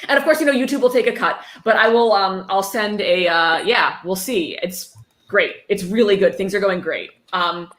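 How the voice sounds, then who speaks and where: A woman's voice: medium pitch, moderate pace, a bit bright. A woman hosting a casual charity livestream, chatting and reading aloud in a sweary, irreverent, encouraging way. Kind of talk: casual group chat with bits of dramatic reading. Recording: echoey room with background noise and clicks.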